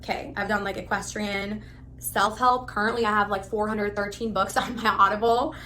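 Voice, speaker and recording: A woman in her thirties, originally from Pakistan, giving a spontaneous, rambling, animated self-introduction. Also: mid-range voice, moderate pace, slight background noise. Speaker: live stream host applicant.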